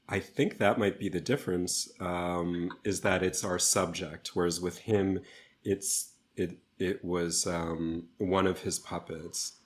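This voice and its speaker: Guy with a low voice being interviewed about theatre, careful, kinda halting and measured. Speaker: theatre artist, interview guest. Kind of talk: conversational interview talk.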